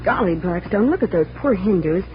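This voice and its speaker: An actress plays a plucky magician's assistant in an old radio serial. She is wisecracking and exclamatory, her pitch jumping around, on a muffled recording with clear background noise. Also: lively intonation, fairly quick, natural voice. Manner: slangy, exclamatory. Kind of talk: old-time radio drama acting.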